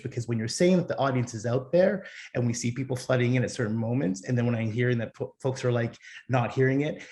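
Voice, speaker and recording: A media industry panelist on an online discussion, a man pressing his point earnestly in an average-pitched voice. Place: virtual panel.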